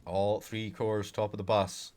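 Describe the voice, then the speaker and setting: A Scottish man casting a live video game match on his own, talking to chat between fights and getting loud and excited in the action. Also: on the low side, measured pace. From Scotland. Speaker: esports caster. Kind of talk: solo live game commentary with chat asides.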